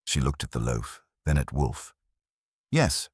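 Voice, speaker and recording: Very deep, husky male detective-story narrator. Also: fairly even intonation, normal speed, a little mellow, dry recording. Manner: wry, dry wit. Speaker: audiobook narrator. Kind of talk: scripted first-person detective narration.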